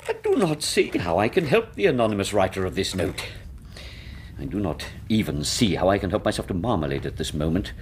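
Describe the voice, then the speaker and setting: A man's voice: sits low, sing-song, brisk, slight background noise. An actor playing a fussy, dismissive older Victorian father with an English accent in a radio drama, pompous and rambling in manner. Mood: blustery, dismissive.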